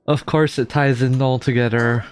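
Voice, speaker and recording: A woman's voice: a bass voice, fairly even intonation, moderate pace, darkish tone. A woman game streamer giving casual, brief reaction commentary.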